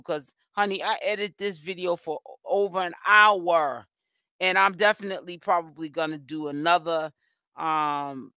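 Chatty Boston woman, bright and clear. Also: fairly low pitch, dry recording, clean studio sound. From Boston, Massachusetts. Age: middle-aged. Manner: chatty, emphatic, calls viewers honey. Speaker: commentary channel host. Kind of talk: casual live commentary.

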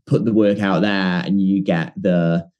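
A man's voice: deep voice, fairly even intonation, measured pace, darkish tone, muffled recording. A man, a documentary producer, talking as a guest in an earnest, thoughtful way with some ums. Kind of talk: casual interview chat.

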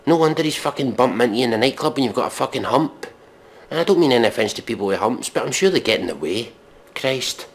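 A man giving a mock dramatic reading in deadpan, with exasperated, scornful, sweary commentary. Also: medium pitch, fast talker. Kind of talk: deadpan recitation of pop lyrics with sweary commentary.

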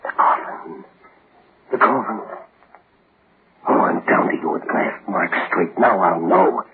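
An actor playing a vengeful, half-mad man in a melodramatic old radio horror drama, halting and repeating himself. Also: sits low. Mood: bitter, frenzied. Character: vengeful, half-mad man hunting his enemy among graves. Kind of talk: 1940s radio horror acting. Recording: noisy, muffled old radio recording.

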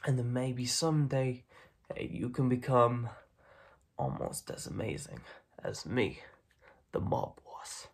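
A menacing, self-satisfied mob boss, played by a young man in an ASMR roleplay. He talks very slowly in halting, repeated phrases. His husky voice is full of creak and often sinks into a whisper, quietly intimidating. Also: medium pitch, big pitch swings, heavily acted. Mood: smug. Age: young adult. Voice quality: breathy, raspy, often dropping into whisper. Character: smug, menacing mob boss recruiting a kid. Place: dim room at home, indoors.

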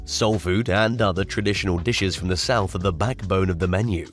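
Low-voiced man reading a travel script. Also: a little fast. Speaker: narrator. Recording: voice-over with faint music.

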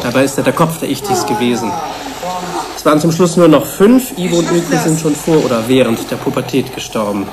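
An actor playing a defensive, grieving research psychologist in a German radio drama, explaining in a measured way. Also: male voice, fairly high pitch, lively intonation, crowd noise, lightly acted. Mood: explanatory, subdued.

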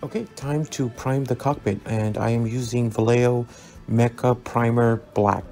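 A man narrating a scale model build as a relaxed tutorial, easygoing and full of ums and uhs as he recommends each step. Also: medium pitch, moderate pace. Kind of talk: relaxed instructional voice-over. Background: soft background music.

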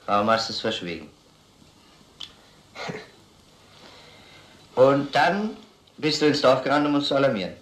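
An actor playing a methodical police detective in a crime radio drama, questioning in a measured, insistent way. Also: male voice, mid-range voice, big pitch swings, a bit bright, reverberant. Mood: probing. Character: probing, methodical male police detective interrogating a suspect.

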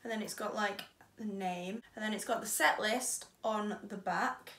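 Bright-voiced young British woman, roomy sound. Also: medium pitch, measured pace. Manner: playful, informal. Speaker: vlog host. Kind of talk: chatty unboxing vlog. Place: small home bedroom, indoors. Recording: roomy, distant mic, audible noise.

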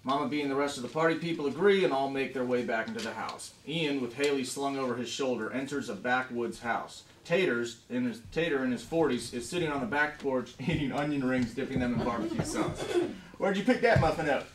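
A man's voice: mid-range voice, fairly quick, few pauses. Man narrating a script, echoey room. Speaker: screenwriter reading stage directions. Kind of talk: scripted narration at table read. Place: conference room around a long table. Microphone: camera mic across the room. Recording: echoey room, distant mic, faint noise.

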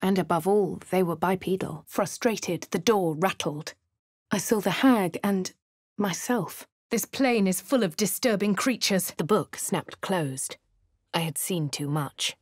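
An actress voicing a posh young woman adventurer in a video game, with a husky, refined delivery. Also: lowish voice, unhurried, no echo. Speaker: player character. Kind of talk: video game narration and dialogue. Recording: dry studio booth.

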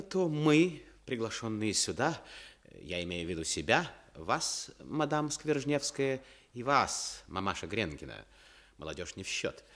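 A man's voice: mid-range voice, a bit bright, full character voice. An actor playing a wry, grandiloquent, self-deprecating man who orates with ironic politeness in a Soviet radio play. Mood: ironic speechifying. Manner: oratorical, deliberate pauses, ironic politeness. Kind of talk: Soviet radio theatre acting.

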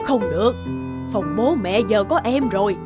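A woman's voice: medium pitch, animated, moderate pace, lightly acted. A woman voicing an old grandmother in a cartoon, stern yet doting, given to scolding and exclaiming. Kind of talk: cartoon voice acting. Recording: music behind the voice.

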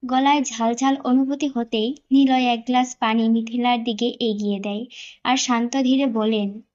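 A woman's voice: on the high side, steady tone, moderate pace, a little mellow, dull audio. A woman narrator reading a scripted romantic story.

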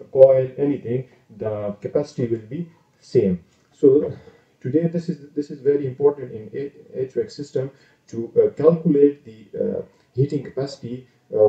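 A man's voice: medium pitch, dark tone. A man hosting his own channel, giving a casual screen-share tutorial on an engineering calculation, hesitant with many fillers and self-corrections. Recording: roomy, distant, dull lo-fi sound.

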